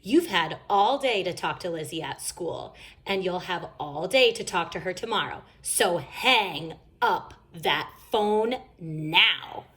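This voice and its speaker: A woman plays a storybook mother bear with little patience for her cubs. She scolds firmly, stressing words with lively rises and falls in pitch. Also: slow pace. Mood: scolding. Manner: scolding, firm, emphatic. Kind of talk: children's storybook read-aloud.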